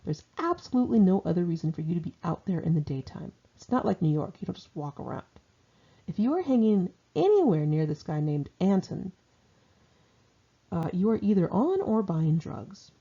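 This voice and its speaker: Casting: woman narrator for a candid diary memoir, lowish husky voice, sardonic and animated in intonation, slightly muffled home recording. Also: normal speed. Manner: wry, sardonic, conversational delivery. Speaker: author reading own memoir aloud. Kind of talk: informal audiobook narration of diary entries.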